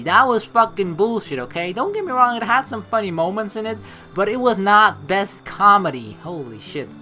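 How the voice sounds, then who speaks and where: A man doing casual playthrough commentary, reading narration aloud and joking with plenty of swearing, on a close mic. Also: fairly high pitch. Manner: animated, joking, swears freely. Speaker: let's play streamer.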